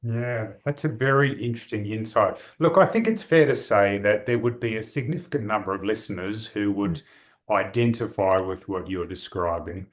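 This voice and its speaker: A man hosting an interview podcast, asking brisk, upbeat questions. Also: lowish voice. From Australia. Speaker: podcast host.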